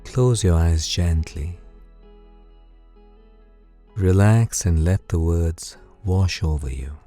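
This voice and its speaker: A man gently and calmly narrating a scripted bedtime story for guided sleep meditation, soothing and unhurried. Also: deep voice. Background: music.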